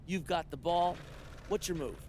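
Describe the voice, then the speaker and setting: A man playing a probing, chatty sports reporter in a video game, conversational, with slightly halting questions. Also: a little high, darkish tone, a little hiss or hum, natural voice. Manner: prodding, hesitant phrasing. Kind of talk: conversational interview.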